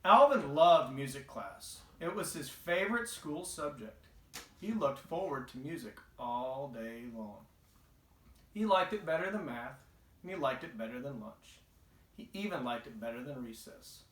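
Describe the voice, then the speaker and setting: Guy reading a childrens story out loud, average pitch, roomy echo on it. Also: expressive, unhurried, a little hiss or hum. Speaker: storybook reader. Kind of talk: children's read-aloud narration. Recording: echoey, roomy home recording.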